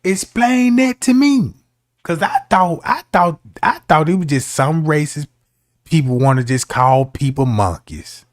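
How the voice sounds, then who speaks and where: Give me a man streaming a slow, slangy commentary with a high voice. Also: animated, no room sound, clean studio sound. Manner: slangy, conversational, argumentative. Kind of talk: casual commentary rant.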